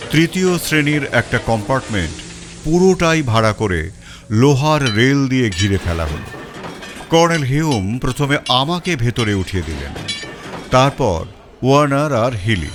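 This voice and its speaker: A male storyteller reads a first-person detective story, with an animated pitch that swings through every sentence. He sits at a middle register with a dramatic, expressive delivery. Faint background music plays behind him. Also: noisy background. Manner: expressive, rising and falling.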